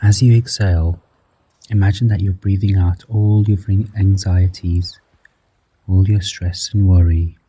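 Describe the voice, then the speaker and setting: A man guiding a breathing meditation in a slow, soft-spoken manner, counting the breaths aloud and dropping some counts to a whisper. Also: low-pitched, fairly even intonation, breathy edge, low-quality recording. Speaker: meditation guide.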